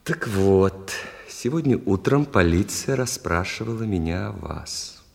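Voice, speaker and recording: An actor playing an insinuating, mock-polite playwright in a radio play, with hesitant hums and repeated phrases. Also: male voice, deep voice, expressive, measured pace, vocal fry, heavily acted. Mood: oily politeness. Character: insinuating, oily male playwright peddling his play. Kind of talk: Russian radio play acting. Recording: echoey hall, long reverb.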